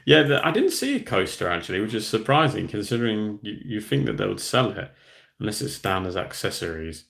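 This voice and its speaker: A man's voice: on the low side, very animated, a little fast, vocal fry, a bit bright. A man, host of a hobby channel, chatting casually on a livestream, rambling and reading out viewer comments. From Australia.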